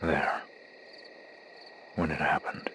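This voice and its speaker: A man playing a reserved, guilt-ridden soldier in an animated horror drama, speaking in terse, somber, measured phrases. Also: a little hiss or hum. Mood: mournful. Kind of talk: understated dramatic dialogue in an animated horror series.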